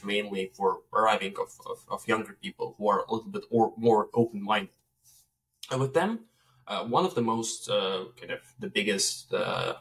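A man's voice: fairly low pitch, a bit bright, distant mic, rough recording. A man in his late twenties from Estonia, a native Russian speaker, talking thoughtfully as a podcast guest on a video call from home. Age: late 20s. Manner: measured, thoughtful, with frequent hesitations. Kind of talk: reflective conversation. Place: room at home, indoors. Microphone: laptop on a video call.

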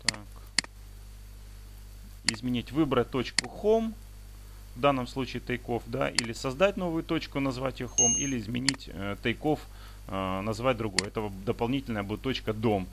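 Guy walking thru software on screen, creaky voice, kinda halting, noisy recording w clicks. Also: mid-range voice, animated. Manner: explanatory, step-by-step, halting. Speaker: instructor demonstrating paragliding flight software. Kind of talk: unscripted screen-recording tutorial.

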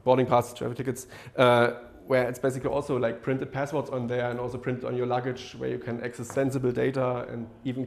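A man in his thirties giving a technical talk at a meetup, seated at a laptop in a lecture room, often pausing with fillers and restarting his sentences. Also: medium pitch, vocal fry. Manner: hesitant, many fillers and restarts. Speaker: meetup speaker. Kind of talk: technical presentation. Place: lecture room with projector screen.

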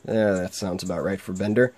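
A man's voice: low voice, fairly even intonation, fairly quick, darkish tone. Chatty man with a sore throat. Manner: chatty, laughs while reading. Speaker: game let's play host. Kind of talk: casual gameplay commentary, reading dialogue aloud. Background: faint game music.

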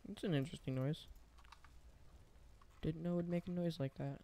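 A man's voice: on the high side, steady tone, moderate pace. A young man casually commenting on his own gameplay, thinking aloud and rambling as he builds. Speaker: gaming channel host.

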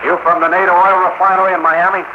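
An actor playing a curt, gruff backwoods gas station attendant in a 1940s radio drama, terse and folksy. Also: male voice, sits high, flat delivery, quick pace, lightly acted. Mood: curt, wary. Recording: very noisy, muffled 1940s radio broadcast recording.

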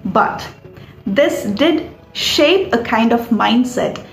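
A woman in her thirties with an Indian accent, presenting as a storyteller in an upbeat, expressive, explanatory way to viewers. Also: fairly high pitch, extremely slow. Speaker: storyteller and author hosting a folktale series. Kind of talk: enthusiastic scripted presentation to viewers. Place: small room indoors. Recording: roomy echo with music underneath. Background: background music.

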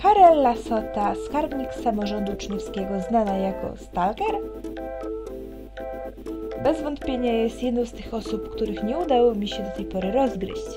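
A woman's voice: on the high side, lively intonation, normal speed, music in the background. A woman reading a visual novel aloud expressively, with wry, teasing asides.

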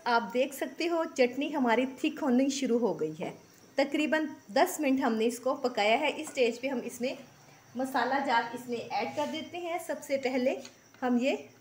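A woman's voice: sits high, slight background noise. A woman, hosting a cooking channel and explaining a recipe step by step in a homely, chatty way, sprinkled with blessings. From Pakistan. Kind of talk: casual cooking tutorial voice-over. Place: home kitchen.

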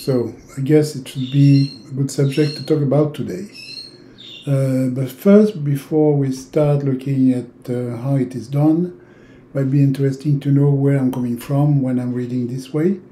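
Older man, French accent, native French speaker, halting tarot teacher, very mellow dark tone, roomy echoing indoor recording. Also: mid-range voice, steady tone, slight background noise. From francophone Europe. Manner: halting, with long hesitations. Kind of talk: informal instructional talk to camera.

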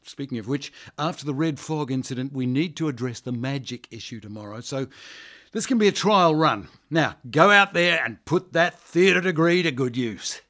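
An actor playing a smooth, manipulative party handler who talks glibly and persuasively. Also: male voice, mid-range voice, expressive, normal speed, a bit bright, natural voice. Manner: persuasive, glib.

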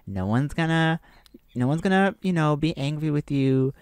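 A woman's voice: sits low, lively intonation, moderate pace, a little mellow, clear, resonant tone, no room sound. A woman hosting an improvised ASMR-style self-care podcast, talking in an informal, reassuring way. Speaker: podcast host.

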